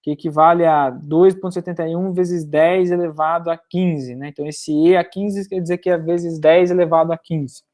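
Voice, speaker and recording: A woman giving a recorded university programming lecture, explaining step by step and often pausing to check that students follow. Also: on the low side, fairly even intonation, mellow.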